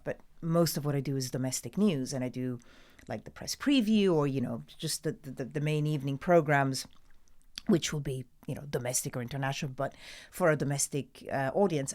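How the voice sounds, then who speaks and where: A middle-aged Italian woman, a newsreader guest, talking casually and emphatically with an Italian accent, often laughing. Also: sits low. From Italy. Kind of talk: casual interview chat.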